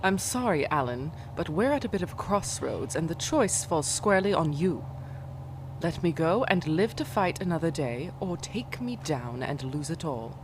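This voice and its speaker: A woman's voice: low voice, animated, noisy background. Defiant, ruthless masked vigilante woman. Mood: bargaining. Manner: formal, deliberate, menacing phrasing. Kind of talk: 1940s pulp radio drama acting.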